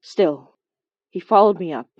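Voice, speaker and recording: An actress playing a compassionate supernatural investigator, narrating a horror audio drama in an introspective, wryly understated way. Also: female voice, lowish voice, a little slow, dry recording, lo-fi sound. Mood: somber, intent. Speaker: narrator and lead character.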